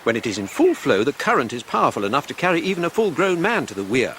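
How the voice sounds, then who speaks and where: An English actor playing a stern, self-important Victorian patriarch in a radio drama, speaking formally. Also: male voice, mid-range voice, very animated, fast talker, a bit bright, a little hiss or hum. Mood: grave. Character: pompous middle-aged Victorian father, stern patriarch. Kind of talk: 1990s radio drama acting.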